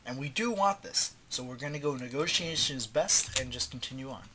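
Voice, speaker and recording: A man talks through a strategy game tutorial in a casual, slangy way, thinking aloud as he clicks. His voice sounds stuffed up and his throat a little sore, yet the tone stays bright and forward. Faint keyboard and mouse clicks sit under the commentary. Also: mid-range voice, lively intonation, brisk, a little hiss or hum, low-quality recording. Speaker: gaming tutorial host.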